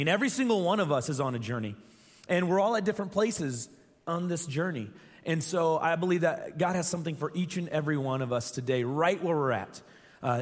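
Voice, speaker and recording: A young adult man preaching a sermon as pastor, his voice scratchy and strained, talking earnestly and repeating phrases for emphasis. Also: medium pitch. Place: large church hall. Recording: long reverb from a church hall.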